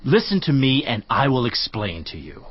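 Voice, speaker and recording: A man's voice: mid-range voice, wildly swooping pitch, normal speed, slightly rough, no echo. An actor playing a stern man who rebukes his friend with a string of reproachful, lecturing questions. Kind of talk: dramatized scripture reading. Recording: dry, slightly dull studio audio.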